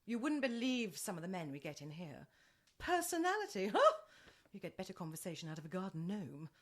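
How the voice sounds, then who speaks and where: Woman doing a gushy middle-aged widow in a radio play, chatty, very swoopy, bit husky. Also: mid-range voice, very animated, a little fast. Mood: gushing flattery. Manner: effusive, theatrical, chatty. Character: gushing, genteel widowed dating agency owner, middle-aged woman.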